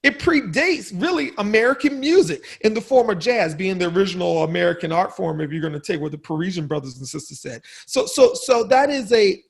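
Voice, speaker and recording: Husky high-voiced man making a point. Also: expressive, a little fast, hardly any pauses. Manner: emphatic, laughs at own wordplay. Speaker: guest panelist. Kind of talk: animated panel discussion.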